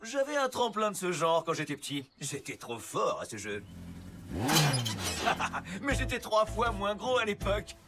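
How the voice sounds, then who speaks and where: Jovial, very animated dad motorbike. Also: male voice, sits high, a little slow, heavy background noise. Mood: bemused. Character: good-natured father rescue motorbike, adult male. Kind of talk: French cartoon dub acting. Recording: loud music behind the voice.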